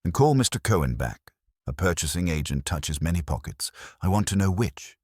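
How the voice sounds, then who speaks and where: A man's voice: deep voice, big pitch swings, moderate pace, a little mellow, husky, no echo, studio quality, full character voice. A man voicing a pompous, unflappable private detective in an audiobook, formal and commanding. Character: pompous, imperturbable genius male private detective.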